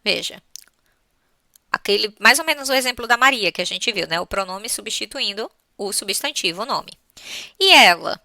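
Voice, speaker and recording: A woman's voice: medium pitch, gravelly, pushed and bright, no echo. A Brazilian woman teaching a grammar lesson in a conversational, step-by-step way, often checking that listeners follow. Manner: didactic, conversational, spells points out step by step. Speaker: English teacher.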